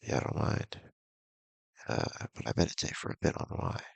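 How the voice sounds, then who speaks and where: A man with a gravelly, husky voice casually reading a visual novel aloud, halting and mumbling with offhand asides. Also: no room sound. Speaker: video game streamer.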